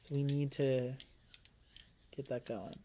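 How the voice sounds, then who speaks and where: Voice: mid-range voice, steady tone, slow pace, noisy background. A board game player and co-host chatting casually through a playthrough, thinking aloud while planning moves.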